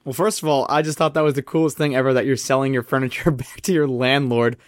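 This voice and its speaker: Hoarse young man hosting a podcast. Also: mid-range voice, fairly even intonation, fast, no echo, clean studio sound. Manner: upbeat, easygoing. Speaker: podcast host. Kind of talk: casual chat and read ad.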